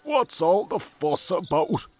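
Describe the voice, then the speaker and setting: A storyteller voicing a grumpy, sleepy old farmer in a children's storybook, gruff and complaining. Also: medium pitch, sing-song, takes it slowly, darkish tone, faint music behind, voice pushed up. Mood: puzzled. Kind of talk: storybook character voice.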